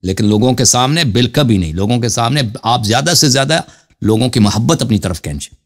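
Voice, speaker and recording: A man's voice: medium pitch, expressive, fast. Courteous male religious call-in host. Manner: courteous, repeats words for emphasis. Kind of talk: informal religious question-and-answer with callers.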